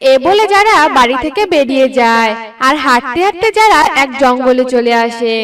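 A woman's voice: high-pitched, animated, moderate pace, fairly bright tone, far from the mic. A woman reading scripted narration for a children's story, recorded a little away from the mic.

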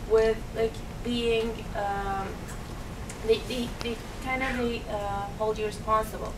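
Young Iraqi woman, English, earnest and halting, slightly high voice; distant camera audio in a noisy, roomy meeting room. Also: fairly even intonation. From Iraq. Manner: earnest, halting, many restarts and fillers. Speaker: guest speaker. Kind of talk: unscripted talk to a meeting. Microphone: far camera.

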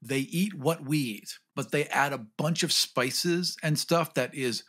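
A man's voice: on the high side, measured pace, fairly bright tone. A man talking casually and jokingly as part of a podcast discussion.

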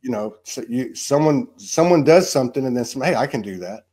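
A man's voice: medium pitch, expressive, brisk, darkish tone, husky. Rambling fifty-something guy hosting a chill video call chat, lots of "you know, man", loose and easygoing. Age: 50s. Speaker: host. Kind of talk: casual spiritual chat. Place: home room, indoors.

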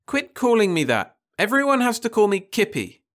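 A petulant, whiny nineteen-year-old girl, voiced by a man reading a story aloud. She talks high and shrill, wailing and sulking, with a pitch that leaps all over. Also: big pitch swings, moderate pace, a bit bright. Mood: pleading, whiny.

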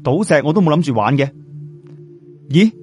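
A man's voice: a little high, big pitch swings, normal speed, a little mellow, faint music behind. A man voicing a polite, curious younger companion in a story, casual and deferential, with a Cantonese accent. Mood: friendly, curious.

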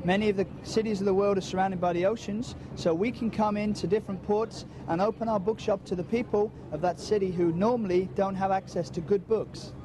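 A fairly high-voiced man explains calmly as a bookstore manager, pausing with small "uh"s between items. He speaks into a handheld mic inside a big shop. Loud crowd-like noise fills the background. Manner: steady, explanatory, with frequent "uh" pauses. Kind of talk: on-camera interview answers. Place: large indoor bookshop aboard a ship. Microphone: handheld mic held by interviewer.

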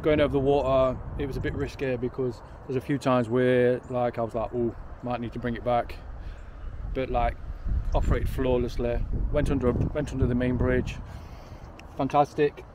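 A man's voice: mid-range voice, flat delivery, moderate pace, noisy background. A man in his forties vlogging to a handheld camera outdoors, rambling and correcting himself in an upbeat way. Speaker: drone vlogger. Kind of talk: casual vlog to camera. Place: outdoors by a railway station, windy. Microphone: handheld camera at arm's length.

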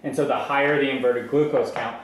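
A young man giving an informal farm tour, explaining as he goes with halting, repeated starts. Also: mid-range voice, steady tone. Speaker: maple farmer giving a tour. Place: large farm sugarhouse and tasting room. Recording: echoey farm building, some background noise.

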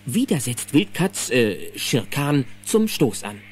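Male audio-play narrator: suspenseful, lively intonation, music underneath. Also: medium pitch, slow. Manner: vivid, suspenseful present-tense telling. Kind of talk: dramatic scripted storytelling in German.

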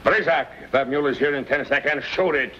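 A man's voice: medium pitch, fast talker, pushed and bright, close to an everyday voice. A man playing a hot-tempered French trapper villain in an old western, threatening and theatrical. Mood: gruff, ordering. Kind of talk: 1950s western screen acting. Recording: dull, noisy old film soundtrack.

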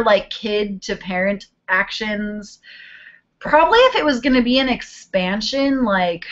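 A woman's voice: mid-range voice, a bit bright, lo-fi sound. Chatty young woman on a webcam. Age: 20s. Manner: chatty and rambling, hums while searching. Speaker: vlogger answering viewer questions. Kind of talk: casual chat. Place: small bedroom, indoors. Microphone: webcam video call.

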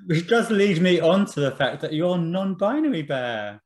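A man's voice: high voice, sing-song, moderate pace. A man interviewing a guest over a video call from a room at home, friendly and encouraging with light teasing.

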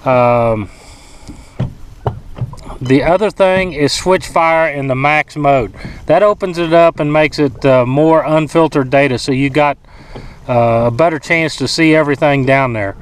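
A man's voice: on the high side, unhurried. A man giving a folksy, colloquial fishing tutorial from an open boat on a lake. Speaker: fishing instructor. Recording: distant mic with loud wind noise.

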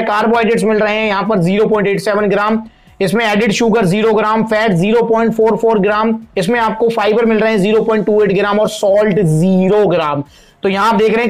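A man with a high, light voice and an Indian Hindi accent talks his viewers through a protein product. He is chatty and friendly, calls them friends, and stops now and then on small fillers as he reads off the label. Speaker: supplement reviewer, channel host. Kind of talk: product review talk to camera.

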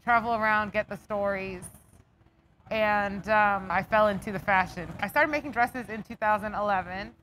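A woman's voice: medium pitch, a bit bright, rough recording. American woman speaking over background noise. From raised outside Atlanta, United States. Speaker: fashion business owner. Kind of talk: casual documentary interview. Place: inside a clothing shop. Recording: noticeable background ambient noise.

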